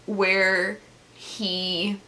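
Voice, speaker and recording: A woman's voice: mid-range voice, flat delivery, very slow, sharp tone, rough recording. A woman chatting casually in a vlog as a book reviewer, giggly and breaking into laughter as she talks, with sarcastic asides. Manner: giggly, laughs through her sentences. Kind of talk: casual chat recounting a book's plot.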